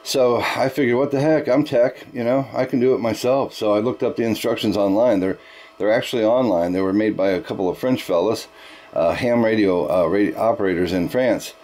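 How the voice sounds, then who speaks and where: Low-voiced man chatting on ham radio. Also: fairly quick, darkish tone. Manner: chatty, explanatory, ends turns with radio procedure words. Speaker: amateur radio operator. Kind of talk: casual ham radio conversation.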